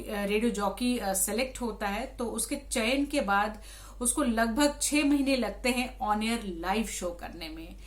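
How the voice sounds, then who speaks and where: A woman talking to viewers in an explanatory, conversational vlog style, restating points for emphasis. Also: mid-range voice. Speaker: radio jockey and vlogger.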